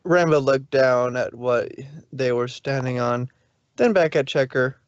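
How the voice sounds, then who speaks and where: A man reading scripted fan fiction as a storybook narrator, with a measured, dramatic delivery. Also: medium pitch, no echo, highs cut off.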